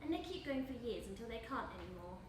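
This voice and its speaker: A woman acting a menacing, cruel woman in a live stage play, speaking in a deliberate, taunting way. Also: a little high, sing-song. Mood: taunting threat. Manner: deliberate, taunting, repetitive phrasing. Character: menacing, cruel woman calmly threatening her victim. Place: indoor stage of a live theatre performance. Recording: echoey theatre, distant mic, noisy.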